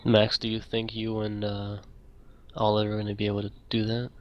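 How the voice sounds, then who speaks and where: Low, even-voiced man in a game. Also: fairly quick, highs cut off. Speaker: player. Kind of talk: casual game discussion.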